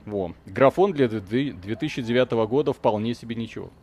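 A man's voice: mid-range voice, fairly quick, darkish tone, husky. A man hosting a live video game stream, chatting casually in slangy, joking language with mild expletives.

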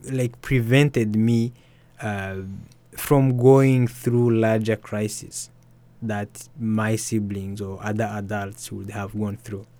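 A young man, an author and musician, telling his story softly and hesitantly in an interview. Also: on the low side, no echo. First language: Swahili. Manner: soft-spoken, hesitant with restarts and fillers. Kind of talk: reflective personal storytelling.

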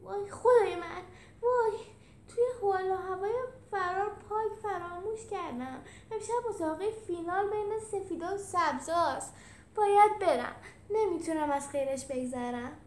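A woman's voice: medium pitch, natural voice. A woman voicing a bold, passionate female animal leader with an urgent, dramatic manner in a storybook reading. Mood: dismayed, excited. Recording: roomy echo with some background noise.

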